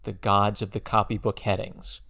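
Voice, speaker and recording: A man giving a dramatic poetry reading with a gravelly voice and measured, declamatory phrasing with emphatic stresses. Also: lowish voice, little pitch movement, pushed and bright. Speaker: poetry reader.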